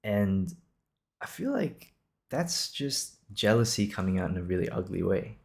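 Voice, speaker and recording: A man's voice: on the low side, big pitch swings, a little slow, gravelly, a little mellow. A man in his twenties from Singapore hosting an improvised podcast, talking casually in a reassuring, encouraging, conversational way. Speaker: podcast host.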